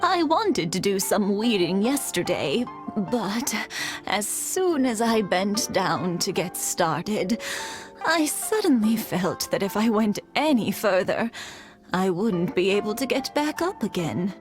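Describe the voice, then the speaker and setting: A kindly old village grandmother who talks in an unhurried, lilting way, her voice rising and falling with motherly warmth. She sounds frail and worn by age. Soft game music plays underneath. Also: mid-range voice, very animated. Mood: wistful. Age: older. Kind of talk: video game voice acting.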